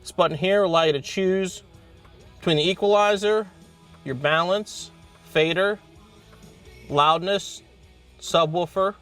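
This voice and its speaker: A man's voice: high-pitched, measured pace, long pauses, fairly bright tone, faint music behind. A man hosting an instructional car installation demonstration, explaining step by step in plain-spoken language. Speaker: host of car installation how-to videos.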